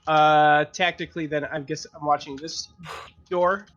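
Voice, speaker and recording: Higher-pitched bright male gamer, lo-fi sound. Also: fairly even intonation, a little slow. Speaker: roleplaying game player. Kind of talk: casual tabletop game talk, speaking in character. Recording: dull, lo-fi voice audio.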